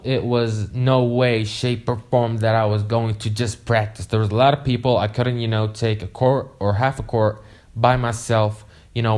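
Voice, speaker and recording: A young man whose first language is Arabic rambles through a casual storytime. His voice sits in a middle pitch, and he keeps dropping "you know" and swearing as he talks. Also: darkish tone. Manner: rambling, profane, many "you know" fillers. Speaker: vlogger.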